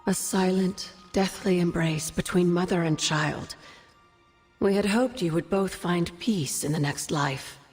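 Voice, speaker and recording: An actress as a knowing older sorceress mentor from a video game, speaking in measured, fondly wry tones. Her voice rings in a long hall reverb over faint music. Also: lowish voice, darkish tone, lightly acted. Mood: solemn, knowing. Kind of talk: game cutscene dialogue, expository storytelling.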